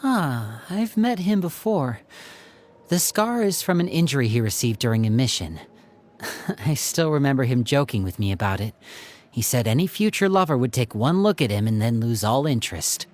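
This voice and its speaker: A performer playing a calm, earnest youth in a video game, measured and telling things like a story. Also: medium pitch, natural voice. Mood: reflective.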